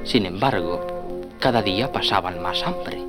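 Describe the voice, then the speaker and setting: Narrator for children's stories: man, mid-range voice, animated reading, soft music behind. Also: fairly low pitch, unhurried. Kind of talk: scripted children's story narration.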